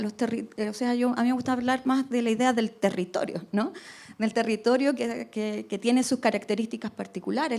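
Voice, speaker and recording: Female panelist, somewhat high voice, reflective, explanatory, many tag questions. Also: a little mellow. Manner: thoughtful, tag questions, restarts. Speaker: climate researcher on a panel. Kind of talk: radio panel discussion.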